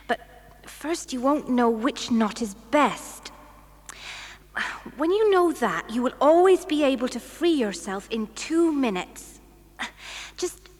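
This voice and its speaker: A woman's voice: high voice, dry recording, full character voice. A woman playing a plucky, earnest young girl from a travelling fair in a children's audio drama, speaking with urgency. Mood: concentrating, recalling.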